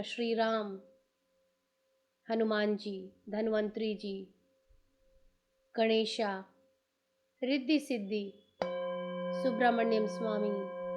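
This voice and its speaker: Female meditation guide, Indian accent. Soothing, fairly high voice, very slow delivery with soft music behind. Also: slight background noise. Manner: soothing, instructing in short unhurried phrases. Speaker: meditation guide, channel host.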